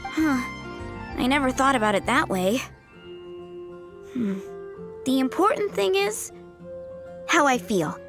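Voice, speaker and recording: A woman's voice: high voice, big pitch swings, takes it slowly, pauses often, exaggerated voice. A woman voicing an earnest, shy young woman in an anime game, devoted and fretful, with a hesitant manner. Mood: resolved. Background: game music.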